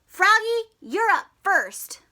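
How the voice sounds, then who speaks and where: A woman's voice: very high-pitched, very animated, takes it slowly, sharp tone, pitched above the performer's own voice, exaggerated voice. A woman voicing a peppy storybook mother who coaches a children's team, cheerily calling out to rally the players.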